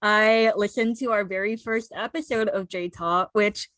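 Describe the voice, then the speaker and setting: Woman, twenties, American accent, medium pitch, upbeat casual podcast chat, dry close sound. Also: fairly even intonation, moderate pace, fairly bright tone, dry recording. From United States. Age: 20s. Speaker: podcast co-host.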